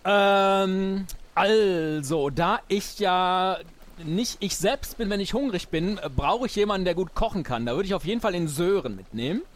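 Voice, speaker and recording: A man's voice: sits high, animated, unhurried. A man playing a small, older, boastful pirate recruit in an improvised audio play, cocky yet hesitant. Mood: eager, cocky.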